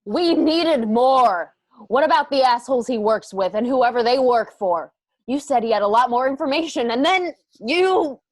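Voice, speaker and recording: Actress as an urgent, higher-voiced frontier widow. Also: female voice, animated, moderate pace. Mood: angry, desperate. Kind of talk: Western radio drama acting.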